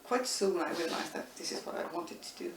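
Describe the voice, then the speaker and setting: A middle-aged woman, thoughtfully answering interview questions with many pauses and restarts. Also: fairly low pitch, expressive. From Estonia. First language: Estonian. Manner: thoughtful, frequent hesitations and restarts. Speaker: interviewee, linguistics professor. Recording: echoey room, distant mic, faint noise.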